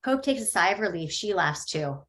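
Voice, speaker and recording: A woman reading stage directions at a table read in a plain, even way. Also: lowish voice, fairly quick.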